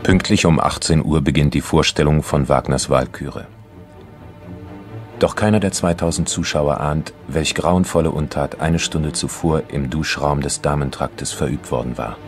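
An actor narrating a crime radio play as a sober, measured chronicler of police files. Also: male voice, rumbling low, steady tone, soft background music. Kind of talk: scripted documentary crime narration.

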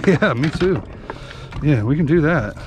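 A man hosting a fishing vlog from an open boat at sea, giving lively play-by-play as fish bite. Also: a little high, slow, music in the background. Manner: excited play-by-play while reeling in fish. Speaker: fishing guide and channel host. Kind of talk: casual fishing vlog commentary. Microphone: action camera on the boat. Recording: outdoor boat noise behind the voice. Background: boat engine and water noise.

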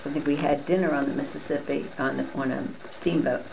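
An older relative at a family dinner, reminiscing in a halting way. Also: medium pitch, steady tone, creaky, background noise. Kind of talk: casual reminiscing. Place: home dinner table. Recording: lo-fi room recording.

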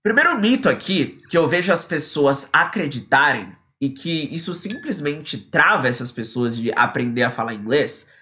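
A young man from Brazil teaches English into a close webcam, his pitch leaping up and down as he talks. He sounds energetic and eager, explaining each point to a viewer from a small indoor room. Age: young adult. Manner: lively and energetic, quoting a student in acted lines. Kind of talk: online English lesson in Portuguese. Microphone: webcam at close range.

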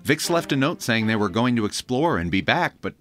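A man's voice: medium pitch, very animated, brisk, fairly bright tone. A man playing a friendly, loyal adventurer in a fantasy audio drama. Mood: urgent. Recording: music under the voice.

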